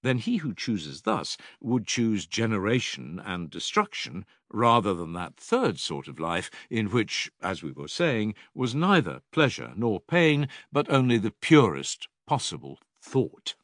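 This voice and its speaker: An actor playing an older philosopher in an audiobook dialogue, a patient, probing teacher with measured, rhetorical and emphatic delivery. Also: male voice, lowish voice, sing-song, fairly bright tone, no room sound, studio quality, heavily acted. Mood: reasoning.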